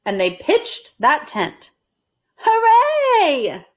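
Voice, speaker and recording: A woman reading a children's storybook aloud at storytime, expressive and deliberate in her phrasing. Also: a little high. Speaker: storytime reader.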